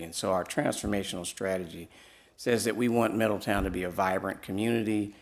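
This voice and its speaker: Man, soft-spoken, Midwestern accent, hesitant fillers, very low voice, gravelly. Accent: Midwestern American. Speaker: downtown nonprofit presenter. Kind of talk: public presentation at council meeting. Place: city council chamber. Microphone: podium microphone.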